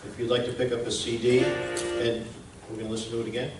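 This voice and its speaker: A man's voice: medium pitch, little pitch movement, fast talker, over music. Man giving a talk in a roomy space. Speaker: presenter, radio station founder. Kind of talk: informal public presentation. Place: indoor museum event room. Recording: roomy, distant mic, clear background noise.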